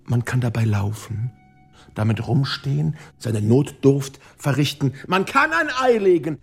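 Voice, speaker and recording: A man playing an absurd, insistent character in an experimental radio play, repeating himself in a gravelly, husky voice. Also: mid-range voice, big pitch swings, unhurried, soft background music. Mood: deadpan absurd. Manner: repetitive, insistent.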